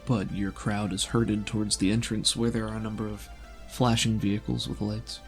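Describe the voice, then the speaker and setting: A man with a gravelly voice, running a tabletop roleplaying game as game master, telling the story and explaining in a deliberate way. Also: lowish voice, little pitch movement. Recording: voice over background music.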